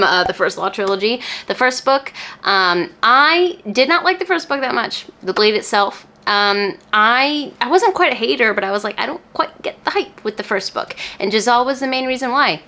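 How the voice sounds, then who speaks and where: A woman's voice: mid-range voice, fairly bright tone. A woman chatting casually to camera as a book reviewer, enthusiastic and emphatic, with informal phrasing and casual swearing.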